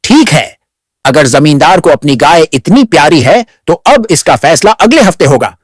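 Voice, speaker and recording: A man as village elder judge, fairly high voice, lively intonation, grave, authoritative, cartoon tale. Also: slightly rough, no room sound, clean studio sound. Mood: decisive. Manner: measured, authoritative. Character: grave, fair-minded male village council head. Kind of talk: Hindi animated moral story dialogue.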